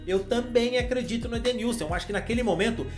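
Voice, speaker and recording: A man's voice: extremely high pitch, quick pace, faint music behind. Brazilian man giving casual football commentary. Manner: conversational, addresses viewer with slang. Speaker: football channel host.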